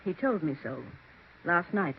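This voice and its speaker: A haunted widow who insists on every word. Her delivery is deliberate and dramatic, in vintage radio mystery style, with a thin phone-like band and light hiss. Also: lowish voice, sing-song. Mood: firm, defiant. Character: haunted, insistent widowed aunt, firm and strange. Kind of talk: 1940s radio mystery acting. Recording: tinny, hissy 1940s radio recording.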